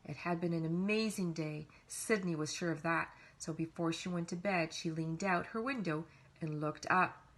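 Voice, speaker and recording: A woman reads a children's storybook aloud in an animated way, leaning on key words with dramatic emphasis. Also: on the low side, moderate pace. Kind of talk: expressive read-aloud storytelling.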